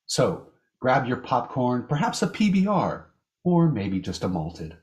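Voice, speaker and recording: A man hosting an amateur vintage radio show, reading a scripted introduction in a measured, announcer-like way. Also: medium pitch, wildly swooping pitch, a little mellow. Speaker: host.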